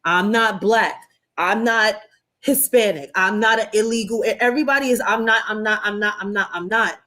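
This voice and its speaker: A woman co-hosting a podcast who speaks forcefully and indignantly, with profanity. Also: medium pitch, moderate pace. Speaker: podcast co-host. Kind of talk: heated podcast commentary.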